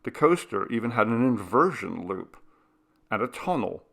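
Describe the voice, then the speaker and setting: Gravelly male story narrator. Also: mid-range voice, sing-song, normal speed, a bit bright. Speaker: audiobook narrator. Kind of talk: scripted dramatic story narration.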